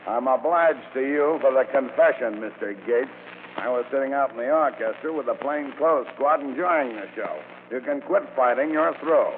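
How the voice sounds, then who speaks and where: An actor playing a brash, wisecracking American police commissioner in a gruff, slangy 1940s radio drama style. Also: male voice, mid-range voice, normal speed, natural voice. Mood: smug, wry. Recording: tinny, noisy 1940s radio recording.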